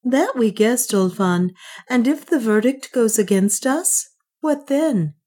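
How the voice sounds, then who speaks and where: A woman's voice: a little high, expressive, slow pace, mellow, pitched above the performer's own voice. A woman playing Juana, an anxious false queen. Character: proud young woman Juana, worried queen.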